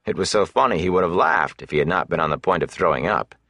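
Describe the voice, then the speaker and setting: A man with a very low voice narrates a fantasy novel. His reading is dramatic and scripted, captured close up with a very dry sound. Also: animated, quick pace, creaky, a bit bright, dry recording. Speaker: audiobook narrator.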